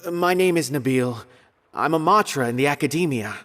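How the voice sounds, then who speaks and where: A man's voice: a little high, animated, fairly quick, fairly bright tone, soft background music. A man voicing a polite, earnest officer in an anime-style game, courteous and slightly hesitant, with a worried seriousness.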